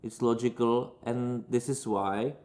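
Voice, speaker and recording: A man's voice: mid-range voice, fairly even intonation, measured pace, darkish tone. A man from Czechia with a Czech accent, hosting a casual Q&A and giving strong opinions in loose, non-native English with frequent restarts. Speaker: channel host answering viewer questions.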